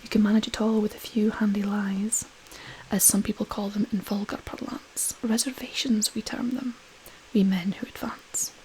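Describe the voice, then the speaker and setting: A woman voicing a sly devil posing as a polite gentleman minister in a poem, softly and whispery. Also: medium pitch, flat delivery, moderate pace, a little hiss or hum, natural voice, poor audio. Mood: boastful, sly. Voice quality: soft, whispery. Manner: polite, pleasant, insinuating. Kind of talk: storybook-style dialect poem reading.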